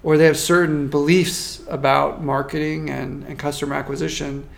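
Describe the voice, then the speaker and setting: A man working as a marketing consultant, giving a measured, thoughtful interview answer with frequent restarts. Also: a little high, level tone, creaky. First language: not French.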